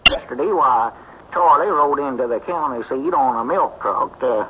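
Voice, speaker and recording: An actor playing a gullible, folksy old country storekeeper with a rambling rural Southern American manner in an old-time radio comedy. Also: male voice, mid-range voice, moderate pace, natural voice. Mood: gossiping. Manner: rambling, homespun, naive. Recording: muffled, noisy vintage radio recording.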